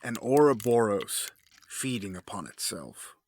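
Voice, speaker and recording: A man's voice: on the low side, very animated, a little slow, darkish tone, a little hiss or hum. A man playing a grieving, bitter, brooding figure in an audio drama, grave and intense in delivery. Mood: grim, intense.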